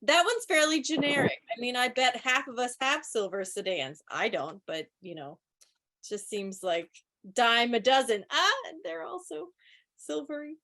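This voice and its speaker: A woman's voice: fairly high pitch, animated, normal speed, bright, forceful tone. A woman hosting a quiz in a casual, chatty style, with wry joking asides, speaking on an online video meeting. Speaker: quiz host. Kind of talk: casual live trivia commentary.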